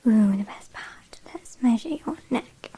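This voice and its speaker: A British woman playing an ancient, possessive, haughty vampire in a whispered ASMR roleplay, speaking softly with quiet menace and condescension. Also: on the high side, expressive, unhurried, full character voice. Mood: teasing, mocking. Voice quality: soft breathy whisper.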